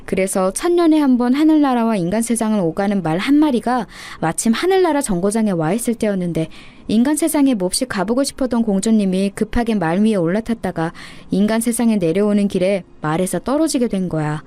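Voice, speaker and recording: A woman voicing a gentle, patient mother who explains things to her child as a fairy tale. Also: mid-range voice, expressive, fairly quick, natural voice. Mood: tender. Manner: tender, explanatory storytelling to a child.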